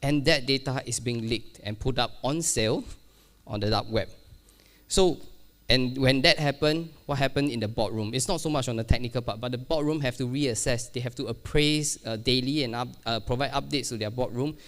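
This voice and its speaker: A man's voice: medium pitch, normal speed, a bit bright. A man giving a keynote at a conference, speaking casually, with many fillers and restarts and a self-deprecating touch. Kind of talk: keynote talk on cybersecurity. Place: conference hall.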